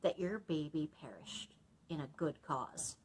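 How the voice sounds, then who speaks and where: A woman's voice: sits low, lively intonation, a little slow, slightly rough, pitched below the performer's own voice, heavily acted. A woman voicing a complacent, evasive male mayor in a storybook, bland and dismissive.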